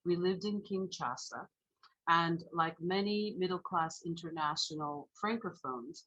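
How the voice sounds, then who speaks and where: Low, husky-voiced woman minister. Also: fairly bright tone, muffled recording. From Haiti. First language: French. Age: 40s. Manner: measured, reflective storytelling. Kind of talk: sermon.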